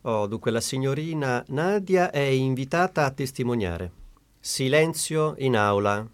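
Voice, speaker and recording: An actor playing a stern, authoritative judge keeping order in a courtroom, in formal radio drama style. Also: male voice, mid-range voice, lively intonation, unhurried, clear, resonant tone, dry recording, lightly acted. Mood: stern, commanding.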